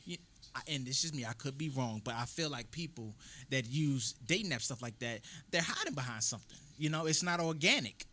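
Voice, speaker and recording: A man's voice: mid-range voice, lively intonation, fairly quick, sharp tone, a little hiss or hum. A man telling a dating story in casual podcast talk, often asking "you know what I'm saying". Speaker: podcast host.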